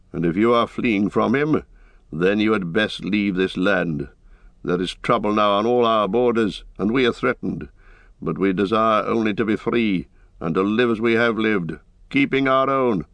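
A man's voice: lowish voice, fairly bright tone, dry recording. A man voicing a proud, suspicious warrior horse-lord in a fantasy audiobook, with formal, archaic, challenging speech. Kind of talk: dramatic audiobook fantasy reading.

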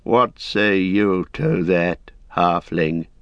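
A proud, bitter old lord speaks coldly and gravely, as a male reader voices him. The voice sits low, and the dry, dull recording has no room sound. His delivery is stately and measured. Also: a bit bright, no echo, exaggerated voice. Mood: grim.

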